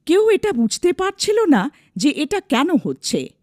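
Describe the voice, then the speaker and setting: A woman's voice: high voice, very animated, unhurried, darkish tone, no echo, studio quality. A woman narrating a scripted dramatic story as a storyteller.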